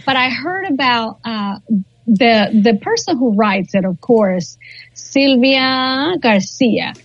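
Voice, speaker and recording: A woman's voice: fairly high pitch, lively intonation, slow, no room sound, highs cut off. A woman in her forties whose first language is Spanish, a guest chatting casually in an interview, often repeating words as she thinks and laughing. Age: 40s.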